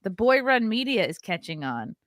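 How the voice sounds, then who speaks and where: A woman hosting a casual commentary podcast, talking in a profane, animated way and mimicking the people she discusses. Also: mid-range voice, normal speed, no echo, clean studio sound. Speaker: comedian podcast host.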